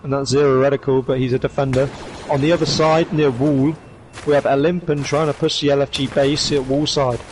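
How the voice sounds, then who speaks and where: Hoarse man calling an esports match. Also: mid-range voice, slight background noise. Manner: rapid excited calls with rising bursts. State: hoarse, losing his voice. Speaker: esports commentator. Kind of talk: play-by-play commentary.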